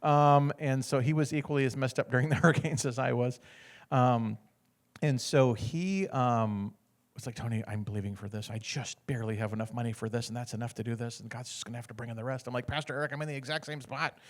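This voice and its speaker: A man's voice: mid-range voice, steady tone, fast. A middle-aged American man telling a faith testimony as a story, acting out the people in it and laughing now and then. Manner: acts out other people's lines, often laughing. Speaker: guest speaker sharing a testimony.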